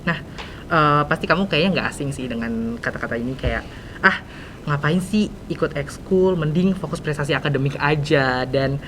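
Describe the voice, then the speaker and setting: A teenage young woman with a Jakarta Indonesian accent, hosting a casual podcast chat in informal youth slang. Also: normal speed. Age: teens. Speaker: podcast host. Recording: rumbly background noise.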